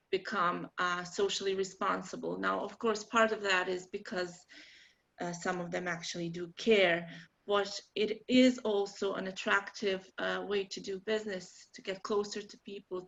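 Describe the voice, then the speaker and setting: Gravelly Bosnian woman presenting online. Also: medium pitch, fairly bright tone. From Bosnia and Herzegovina. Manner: earnest, encouraging, with frequent ums. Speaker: marketing trainer. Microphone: video call. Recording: lo-fi video call audio.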